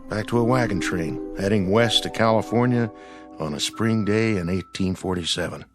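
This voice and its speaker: An actor as an earnest everyman with a lowish voice in a radio drama. His delivery is halting and full of awe, with clear music behind him. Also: lightly acted. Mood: hushed awe. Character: earnest, awed ordinary man named Joe.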